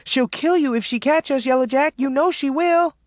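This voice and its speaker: A man's voice: very high-pitched, moderate pace, no echo, studio quality, pitched above the performer's own voice. A man voicing a feisty young woman in an audiobook, alarmed, hollering and begging urgently. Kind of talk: audiobook character acting.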